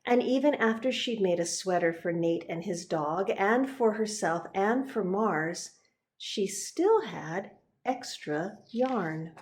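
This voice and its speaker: A woman narrating a children's picture book, expressive and deliberate, pausing for emphasis. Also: mid-range voice. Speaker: reverend reading a picture book.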